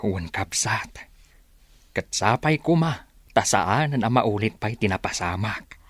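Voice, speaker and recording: Slow-spoken, kindly older village father. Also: lowish voice, expressive, fairly bright tone, no room sound, studio quality. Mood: gentle, concerned. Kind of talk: radio drama acting.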